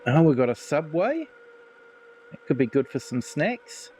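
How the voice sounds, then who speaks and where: A man's voice: on the high side, very animated, a little slow, darkish tone, slight background noise. A man with a New Zealand accent streaming a farming game, chatting casually and reading out viewer comments. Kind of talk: casual live gameplay commentary.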